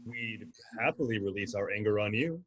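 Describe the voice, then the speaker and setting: A man's voice: on the low side, animated, moderate pace, fairly bright tone, lo-fi sound. A man playing a menacing, theatrical flightless bird gang leader in a comedy table read. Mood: threatening. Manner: threatening, theatrical.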